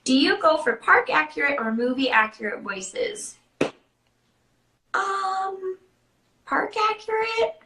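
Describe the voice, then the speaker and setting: An American woman hosting a casual online chat, telling stories and answering questions in an animated way. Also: extremely high pitch, slow, long pauses, noisy background. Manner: animated storytelling, acting out quoted dialogue. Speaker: live show host and character party performer. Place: indoor room, online video chat. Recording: roomy echo.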